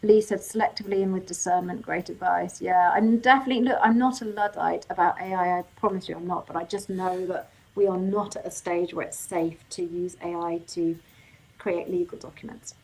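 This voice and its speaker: A woman hosting a live legal webinar, reading out audience questions and answering them informally, her voice getting croaky. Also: lowish voice, steady tone, fairly quick, a little mellow, poor audio. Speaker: legal workshop host.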